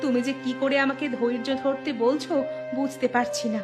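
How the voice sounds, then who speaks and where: A woman plays a worried village wife in a cartoon, with an extremely high voice. She speaks in a plaintive, emotive way, with music clearly playing behind her. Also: steady tone, brisk, natural voice. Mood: worried, reproachful. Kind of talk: Bengali moral cartoon dialogue.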